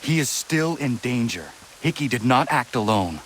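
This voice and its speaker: A man's voice: mid-range voice, very animated, moderate pace, fairly bright tone, slight background noise. An actor playing a stern young male warrior who speaks tersely and solemnly. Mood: grave.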